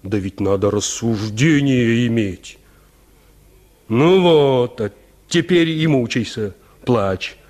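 A man's voice: mid-range voice, lively intonation, slow pace, darkish tone. An actor in a radio drama playing a vain, callous peasant huntsman who talks in a boastful, dismissive, folksy way. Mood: proud, scornful. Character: vain, callous peasant huntsman scorning his unloved wife. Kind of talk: Russian radio drama acting.

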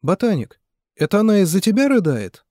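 A man voicing a brash young male army veteran who argues loudly and scornfully with his rival, in a husky voice. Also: high-pitched, very animated, a little fast, no echo, clean studio sound, voice pushed up. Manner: loud, scornful, shouting.

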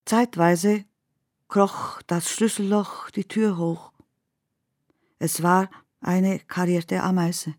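A woman who recites surreal radio-drama poetry in a lowish voice. Her phrasing is measured and very slow, and the sound is dry, like a close studio booth. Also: little pitch movement, a little mellow, no echo. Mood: dreamlike, uneasy. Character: woman narrating surreal, dreamlike summer memories.

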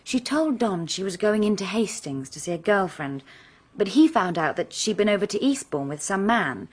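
Lively, husky young Englishwoman. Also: mid-range voice, moderate pace. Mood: anxious, confiding. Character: frank, anxious young English woman, murdered girl's sister. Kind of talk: radio drama acting.

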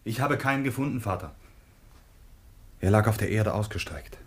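An actor playing a dutiful, troubled grown son in a classical radio play, speaking with solemn, measured reverence. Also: male voice, fairly low pitch, animated, breathy edge. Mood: sorrowful reporting.

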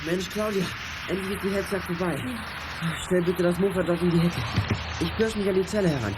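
Guy playing eager teen boy detective, kinda high voice, wordy and sure of himself, noisy audio drama scene. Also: brisk, natural voice. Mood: eager, scheming. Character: clever, eager teenage boy detective leading friends. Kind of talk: children's audio drama acting. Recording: noisy outdoor drama ambience.

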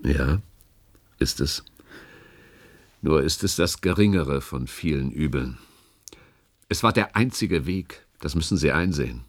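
An actor playing a calculating, grave older department chief in a German radio play, speaking with deliberate, persuasive rhetoric and a gravelly, husky voice. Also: male voice, extremely low pitch, lively intonation, exaggerated voice. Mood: solemn, urging.

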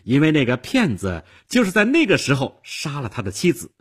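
A storyteller voicing a jealous, indignant woman who makes loud, emphatic accusations in an audiobook-style tale. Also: low voice, huge pitch swings, brisk, slightly rough, voice pushed up, heavily acted. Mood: furious, accusing.

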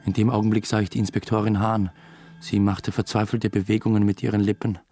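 A man's voice: fairly low pitch, little pitch movement, a little fast, mellow, faint music behind, natural voice. A young man acting as the earnest, reflective first-person narrator of a radio drama, a new father. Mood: reflective narration. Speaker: narrator and lead character.

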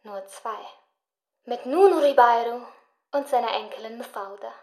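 A woman's voice: on the high side, moderate pace, a little mellow, natural voice. An actress playing a bold, scheming young woman in a dramatic, provocative radio drama performance. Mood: cold, taunting. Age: young adult. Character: bold, bitter nineteen-year-old woman, scheming blackmailer. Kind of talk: German radio drama acting.

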